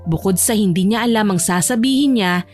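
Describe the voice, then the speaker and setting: A woman's voice: medium pitch, brisk, a little mellow, faint music behind. A woman reading scripted narration for an audio romance drama as the storyteller.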